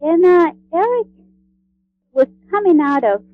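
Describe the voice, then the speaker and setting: A woman from Honduras, a guest on a radio interview, speaking in a friendly, halting way with many fillers. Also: extremely high pitch, expressive, no room sound, narrow, tinny audio. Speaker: guest, cookbook author.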